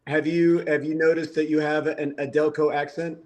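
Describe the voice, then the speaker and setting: A man's voice: a little high, little pitch movement, moderate pace. A man in his fifties from the Philadelphia area, a podcast guest telling stories with wry, self-mocking humor. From Philadelphia area, USA. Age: 50s. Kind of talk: casual podcast conversation.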